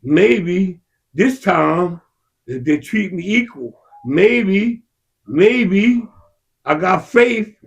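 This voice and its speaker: A man in heated casual discussion, impassioned and profane, his voice rising to shouts. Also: high-pitched, animated, extremely slow, gravelly, breathy edge. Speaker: podcast co-host.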